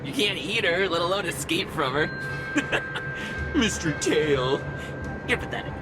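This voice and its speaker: An actor playing a sly, gleeful villain spirit who taunts theatrically in a video game. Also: male voice, sits high, lively intonation, takes it slowly. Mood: gloating. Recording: loud music under the voice.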